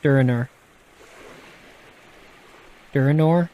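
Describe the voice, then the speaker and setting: A man's voice: mid-range voice, slow pace, lo-fi sound. A man with a Texan accent, reading a gamebook aloud and casually chatting through its rules with a live audience, often restarting his sentences. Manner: casual and explanatory, with frequent restarts and self-corrections.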